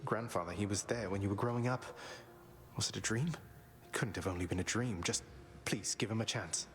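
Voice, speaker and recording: An actor playing a kind-hearted young prince in a video game, gentle, earnest and pleading. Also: male voice, fairly low pitch, normal speed, natural voice. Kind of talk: dramatic video game voice acting.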